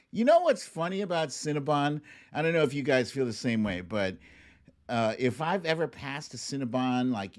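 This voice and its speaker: A man's voice: medium pitch, a little mellow. A man with an American accent chatting informally and expressively as the host of a live online talk show. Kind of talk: casual livestream chat and commentary.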